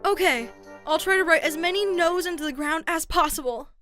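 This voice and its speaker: A woman's voice: very high voice, fairly quick, fairly bright tone, faint music behind. A woman playing a childish, ditzy, sulky grown woman in a manga dub, whiny and dramatic. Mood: sulky despair. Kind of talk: exaggerated anime-style manga dub acting.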